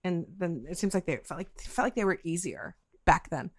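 A middle-aged woman interviewing in a casual, conversational way, halting and repeating words as she thinks aloud. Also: lowish voice, expressive, no room sound, clean studio sound. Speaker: podcast interviewer.

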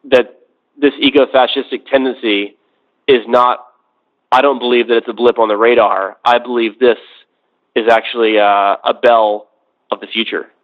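A man giving conversational political commentary as a discussion guest, measured and reflective, building his points phrase by phrase. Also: medium pitch, steady tone. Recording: tinny phone-like line.

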